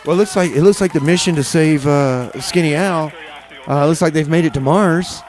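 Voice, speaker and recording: A man hosting a community radio show, chatting loosely and haltingly between songs from a studio mic. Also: on the high side, over music. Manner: halting, full of uh and repeated starts. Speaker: radio DJ and host. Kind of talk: rambling casual radio chat.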